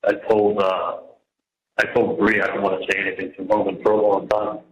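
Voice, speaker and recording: A man in jail venting on a phone call in a halting, repetitive, casual way. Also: lowish voice, flat delivery, fairly bright tone. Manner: halting and repetitive, venting about his situation. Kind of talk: casual, rambling personal phone conversation. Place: jail, on a telephone. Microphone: telephone handset. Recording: echoey jail telephone call.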